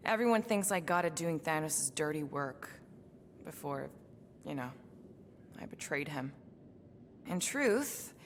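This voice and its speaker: Serious assassin lady in a game, lowish voice, dry and guarded, reverby with faint noise. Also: vocal fry, fairly bright tone, exaggerated voice. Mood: wry, softened. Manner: measured, dry, deliberate. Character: guarded, serious female assassin, deadliest in the galaxy. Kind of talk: dramatic video game acting.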